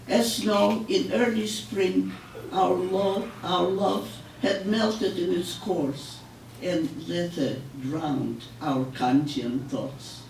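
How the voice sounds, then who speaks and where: An older woman reading her poetry aloud in a measured, solemn way with deliberate pauses. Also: on the low side, warm tone. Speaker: poet. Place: public library room, live reading. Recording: echoey room, distant mic, noisy.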